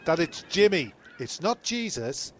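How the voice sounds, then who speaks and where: A man's voice: on the high side, sing-song, a little slow, fairly bright tone. A man playing scripted comedy with ad-libbed asides, exasperated, stammering and repeating himself. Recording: faint background noise.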